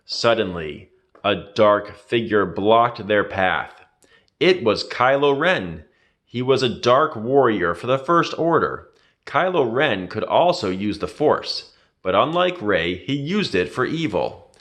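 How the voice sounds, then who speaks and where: A man's voice: lowish voice, sing-song, slow, a bit bright. A man reading a children's adventure story aloud with dramatic, emphatic storytelling. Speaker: storybook reader.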